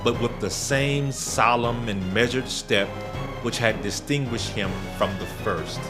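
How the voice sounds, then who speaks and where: A man narrating a gothic horror tale in a dramatic, deliberate, scripted style. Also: mid-range voice. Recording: prominent music bed under narration. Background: music.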